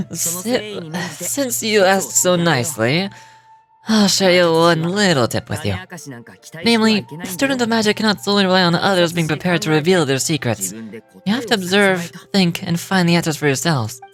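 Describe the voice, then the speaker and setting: A woman voices a young male stage magician from an adventure game, in a fairly low register. He is suave, gentle and courteous, with a playful, theatrical politeness. Music sits behind the voice. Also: sing-song, normal speed. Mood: teasing, courteous. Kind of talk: game dialogue read aloud in character.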